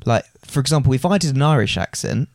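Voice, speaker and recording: A man's voice: medium pitch, animated, fast talker, creaky, a little mellow, no echo, studio quality. A young man co-hosting a podcast, earnestly explaining his views in casual chat. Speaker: podcast co-host.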